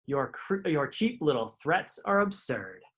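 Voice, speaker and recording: A man's voice: on the high side, sing-song, unhurried, a bit bright, slight background noise. A man voicing a sly, menacing fox villain in a children's storybook, with a taunting manner. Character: sly, menacing female fox who cooks chickens.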